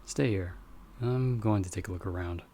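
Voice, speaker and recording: A lowish-pitched actor playing a male investigator with a knowledge of magic, calm and guarded. He guides a novice patiently, in measured phrases with pauses, as in an audio roleplay. There is clear background noise and ambience behind him. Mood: wary, serious. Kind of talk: ASMR audio roleplay acting.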